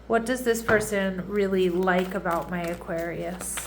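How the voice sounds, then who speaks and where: A woman's voice: fairly low pitch, a little slow, slight background noise. A woman giving a casual tarot reading who sounds congested and nasal, chatting and often checking in with her audience. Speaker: tarot reader.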